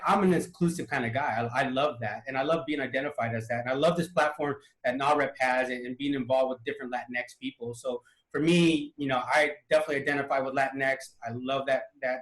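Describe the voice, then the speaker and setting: A man's voice: mid-range voice, fairly bright tone. Guy hosting a video panel, chatty and loose, repeats himself, echoey room. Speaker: panel host. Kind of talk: casual panel conversation. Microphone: video call mic.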